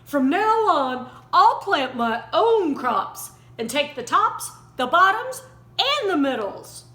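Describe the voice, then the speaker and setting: A woman voicing a sleepy, grumpy male bear from a children's storybook, easily tricked, complaining in slow, drawn-out indignation. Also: high voice, very animated, pushed and bright, voice pushed up, exaggerated voice. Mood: fed up. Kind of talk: children's picture-book character reading. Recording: roomy echo, faint background noise.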